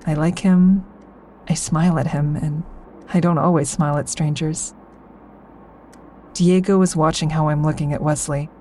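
An actress playing an observant, guarded woman, narrating in the first person for an audio drama in a measured way. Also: low-pitched, fairly even intonation, warm tone, slight background noise, natural voice. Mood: watchful, reflective.

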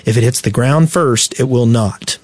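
A man's voice: medium pitch, moderate pace, a little mellow, slightly rough, no room sound. A man co-hosting a casual gaming podcast, reading out facts in an explanatory way and adding his own asides. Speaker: podcast co-host.

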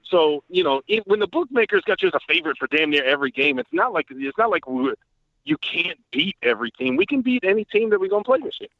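A man guesting on a podcast, chatting casually with animated, profane, joking banter. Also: sits high, fast talker, vocal fry, no echo. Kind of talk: casual sports podcast chat. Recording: phone-like remote call line.

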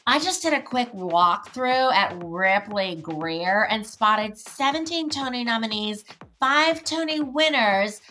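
A man's voice: sits high, bright, forceful tone, a little hiss or hum, heavily acted. A man playing a gossipy, self-important theatre insider giving a catty, pompous monologue in a radio play.

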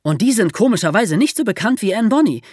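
A woman telling history as a podcast host, in a lively explanatory storytelling style for young listeners. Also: mid-range voice, quick pace, slightly rough, no echo.

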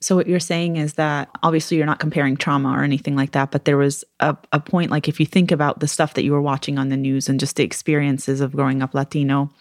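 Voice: medium pitch, level tone, fast talker, hardly any pauses, no room sound, studio quality. A podcast host asking curious, encouraging questions in a casual interview.

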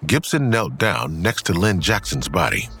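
A man's voice: deep voice, sing-song, moderate pace. A man reading scripted scene narration for an audio drama. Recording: clear background ambience noise.

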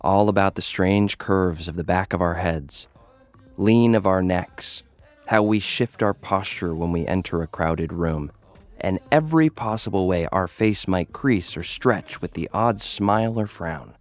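A man's voice: sits low, a little slow. An actor playing a young, streetwise male influencer-fighter who narrates his own story in a wry, slangy way for an audio drama. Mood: knowing, confiding.

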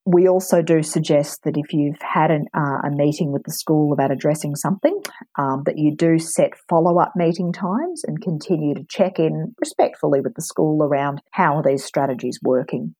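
A woman, giving calm, measured advice as an interview guest, with frequent ums. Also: low voice, husky, dry recording, poor audio. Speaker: anti-bullying expert guest. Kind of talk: calm advisory interview answers.

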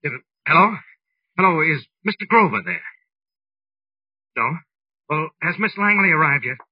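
A man's voice: on the high side, animated, normal speed, slightly rough, no echo. A man acting an earnest, worried business executive with a proper manner in a 1940s radio drama. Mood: worried, puzzled. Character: earnest, anxious young male business executive in love. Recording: muffled old-time radio recording.

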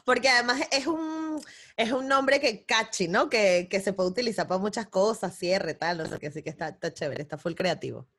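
A woman's voice: medium pitch, lively intonation, brisk, a bit bright, slightly rough. A woman hosting a casual podcast interview, chatty, laughing often and tossing in English words. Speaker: podcast host.

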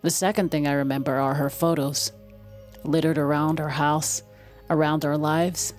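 An actress playing a grown daughter recalling her childhood in measured, wistful audio drama narration. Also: deep voice, fairly even intonation, a little mellow, soft background music, lightly acted. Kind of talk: scripted first-person audio drama narration.

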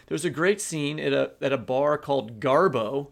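Creaky-voiced man discussing books. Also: fairly high pitch, normal speed. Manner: discursive, restarts sentences as he builds a point. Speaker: podcast co-host, teacher. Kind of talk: discussion on a literary podcast.

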